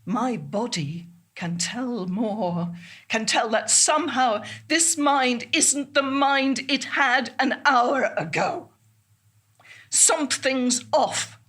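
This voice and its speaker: A woman's voice: on the high side, slow, fairly bright tone, breathy edge, exaggerated voice. An actress playing a frail, confused elderly woman in a theatrical monologue, wistful and often losing her words. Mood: troubled. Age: older.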